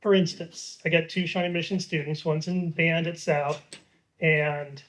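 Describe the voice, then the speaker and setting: A man in his fifties whose voice sits a little high for a man. He asks his question at a library town hall in a loose, rambling way. He stops and restarts often, with plenty of fillers. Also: little pitch movement, a little slow, fairly bright tone. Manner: casual, rambling, hesitant with many fillers. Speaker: constituent asking a question. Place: public library meeting room.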